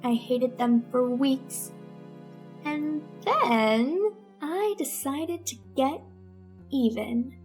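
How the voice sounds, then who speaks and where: A woman's voice: sits high, animated, drawn-out words, a little mellow, heavily acted. A woman playing a bitter, scheming teenage girl in a melodramatic old-time radio suspense play, with music under her. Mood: bitter, resentful. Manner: melodramatic, resentful. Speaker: narrating lead in a radio melodrama.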